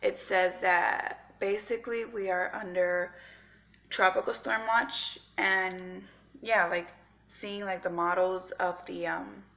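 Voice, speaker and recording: A young woman with a raspy just-woke-up voice, chatting casually to her vlog camera at home, rambling with lots of fillers. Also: lowish voice, fairly even intonation, slow, bright, forceful tone. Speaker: vlogger. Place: at home, indoors.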